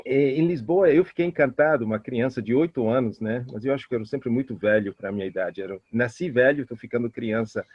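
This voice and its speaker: A middle-aged man from Italy speaking with an Italian accent as a guest expert, telling personal stories quickly with many hesitations and restarts. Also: medium pitch, husky, no room sound. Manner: fast, animated talker with many hesitations and restarts. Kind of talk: interview answers, personal storytelling. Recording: muffled lo-fi audio.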